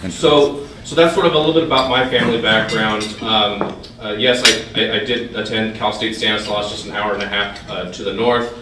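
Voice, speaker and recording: A man's voice: on the low side, fairly quick, noisy background. A man in his thirties, raised in California's Central Valley, whose first language was Thai, giving a hesitant talk to a club with many fillers and restarts. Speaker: guest speaker. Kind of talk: informal speech to a club. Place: large dining hall. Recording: echoey hall, mic far off.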